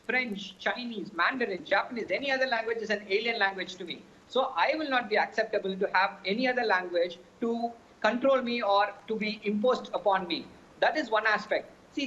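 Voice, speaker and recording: A man's voice: sits high, sharp tone, a little hiss or hum. Emphatic man on a dull remote feed. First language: Tamil. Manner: emphatic, speech-like. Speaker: party representative. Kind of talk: TV debate argument.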